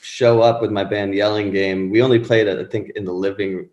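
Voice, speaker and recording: A man in his thirties with a very low voice talks loosely, drifting through fillers and restarts. The sound is roomy and echoey, with the space audible around him. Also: level tone, moderate pace, a little mellow, highs cut off. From born in Pakistan, raised in Rhode Island. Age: 30s. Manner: rambling, many fillers and restarts. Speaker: musician guest. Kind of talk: casual interview chat.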